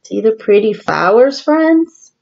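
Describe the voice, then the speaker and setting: Female primary teacher for picture-book read-aloud. Very slow, deliberate delivery, animated storytelling, slightly muffled lo-fi sound. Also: a little high, gravelly. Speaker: teacher reading a picture book to young children. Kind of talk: children's read-aloud with asides to listeners.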